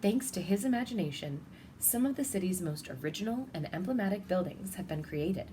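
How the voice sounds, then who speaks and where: A woman's voice: on the low side, animated, a little fast, warm tone. Woman reading aloud, with background noise. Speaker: storybook reader. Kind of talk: children's picture-book read-aloud.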